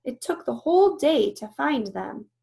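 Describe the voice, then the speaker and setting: A woman's voice: sits high, big pitch swings, unhurried, vocal fry, dark tone, pitched above the performer's own voice, full character voice. A woman voicing an eager, earnest young female baby elephant in a read-aloud storybook, telling things in an emphatic, childlike way. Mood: excited, insistent.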